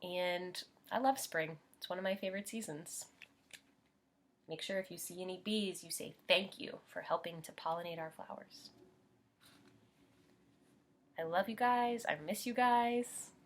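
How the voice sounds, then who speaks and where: A woman's voice: lowish voice, measured pace, long pauses, a little hiss or hum. An American woman teaching young children as she reads a picture book aloud, explaining simply and putting questions to her listeners.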